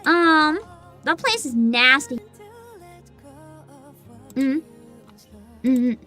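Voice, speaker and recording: A woman livestreamer chatting casually with her viewers in an animated, exclamatory way. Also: sits high, extremely slow. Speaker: livestream host, VTuber. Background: music.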